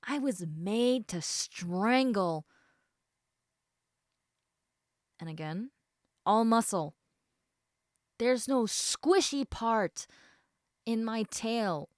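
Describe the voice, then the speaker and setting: A woman playing a caring, slightly snarky snake woman in an intimate audio roleplay, speaking in a soft, hesitant conversational murmur that dips into whispers. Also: fairly high pitch, animated, slow pace, pauses often, dry recording, studio quality. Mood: firm, snarky.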